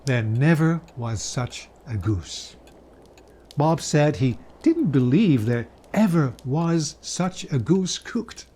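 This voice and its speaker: A man reads a classic Christmas story aloud in a fairly high voice. He takes his time, savoring phrases and leaving theatrical pauses, as a storyteller giving a dramatic reading. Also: warm tone, husky.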